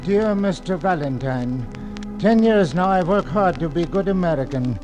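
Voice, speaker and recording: An actor playing an earnest middle-aged immigrant janitor who speaks broken English, in 1940s radio acting style. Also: male voice, a little high, animated, moderate pace, over loud music, lightly acted, low-quality recording. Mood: pleading. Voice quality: broken English, humble and eager.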